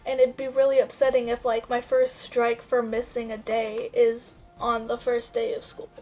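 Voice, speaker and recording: A woman's voice: fairly high pitch, a little mellow. A young woman vlogger with a stuffy nose from a sinus infection, chatting casually and rambling with many fillers. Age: young adult. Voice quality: nasal. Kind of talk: casual life-update chat.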